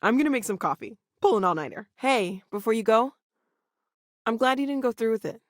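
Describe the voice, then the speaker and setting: A woman's voice: on the high side, lively intonation, brisk, dry recording, lightly acted. An actress plays a brainy woman scientist in a video game, recorded close and dry. She is earnest and eager, with an anxious edge. She speaks thoughtfully, with small hesitations. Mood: friendly, earnest.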